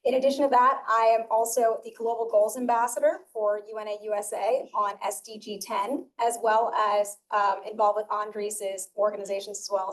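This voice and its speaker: A woman's voice: mid-range voice, distant mic, rough recording. A woman who works in communications, introducing herself fluently and with enthusiasm on a panel. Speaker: communications associate.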